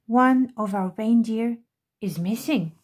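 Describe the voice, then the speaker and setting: A woman's voice: fairly high pitch, slow pace. A woman voicing an anxious, dutiful little old male elf in a hesitant, deferential manner for a storybook.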